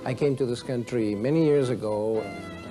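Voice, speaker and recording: A man's voice: mid-range voice, normal speed. A man from Argentina, an orchestra violinist speaking earnestly and measuredly in a documentary interview. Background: music.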